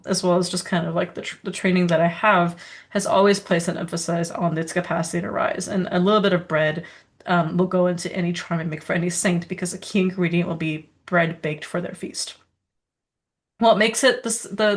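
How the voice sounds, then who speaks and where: A woman of origin with a slightly lowered pitch, chatting casually as a podcast co-host. She talks in loose, rambling runs, peppering her speech with filler words and easy laughter as she goes. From Serbia. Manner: rambling, quick asides, lots of "like" and laughter. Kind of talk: casual conversational chat.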